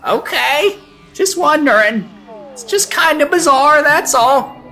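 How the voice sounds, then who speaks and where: A man's voice: very high voice, animated, slow, bright, forceful tone, faint music behind, pitched above the performer's own voice, heavily acted, poor audio. A man voicing a fussy, polite captive pet who is puzzled by a stranger's manners. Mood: bemused. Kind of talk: storytelling podcast character voice.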